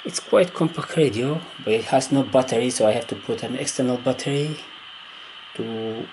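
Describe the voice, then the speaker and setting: A man who is not a native English speaker gives a casual, unscripted hands-on demonstration, hesitantly thinking aloud in halting phrases. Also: medium pitch. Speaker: amateur radio hobbyist demonstrating gear. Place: indoors at a wooden table. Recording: clear background noise on handheld camera audio.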